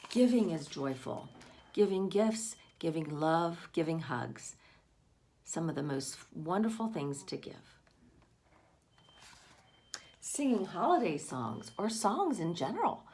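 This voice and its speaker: A woman with a fairly low voice reads a picture book to young children. Her delivery swings widely in pitch and often dips into creaky fry, as she chats to the listeners and puts questions to them. There is clear background noise in the recording. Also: slow, pauses often. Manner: expressive, addresses young listeners with questions and exclamations. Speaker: read-aloud storyteller for children. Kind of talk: picture book read-aloud with asides to children.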